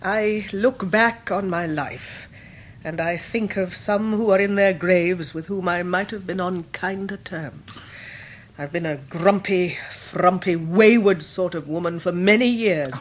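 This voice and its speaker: An English actress playing a wise older Victorian aunt, measured and affectionate, in a radio drama. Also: female voice, lowish voice. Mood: reflective, tender. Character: gruff but tender, wise old Victorian great-aunt. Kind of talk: 1990s radio drama acting.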